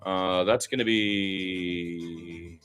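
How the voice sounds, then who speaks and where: A man's voice: deep voice, fairly even intonation, drawn-out words, a bit bright, soft background music. A man doing live radio play-by-play of basketball, describing the action in running detail with short exclamations and asides.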